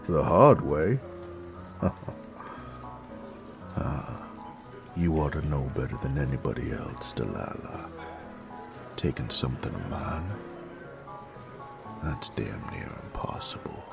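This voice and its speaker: A man playing a gruff werewolf bounty hunter in a Western audio drama, with a slow, sardonic Southern American drawl and a gravelly voice. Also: rumbling low, animated, over music, exaggerated voice. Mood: taunting. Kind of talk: Western audio roleplay acting.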